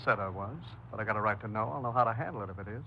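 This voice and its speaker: An actor playing a wary, haggling service station fence in a 1950s radio crime drama, with brisk colloquial speech. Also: male voice, fairly low pitch, natural voice. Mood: wary, bargaining. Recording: dull, faintly noisy 1950s radio recording.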